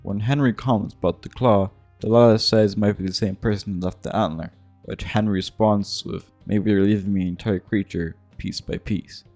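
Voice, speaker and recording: Low-voiced man narrating over guitar music. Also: fairly even intonation, moderate pace, darkish tone. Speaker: video essay narrator. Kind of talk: casual scripted video game commentary. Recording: music behind the voice.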